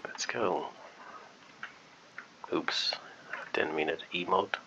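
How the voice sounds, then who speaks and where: Male gamer host, very bright edgy low voice, murmured casual commentary, muffled mic. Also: drawn-out words, creaky, whispered. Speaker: gaming video host. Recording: muffled band-limited mic, faint noise.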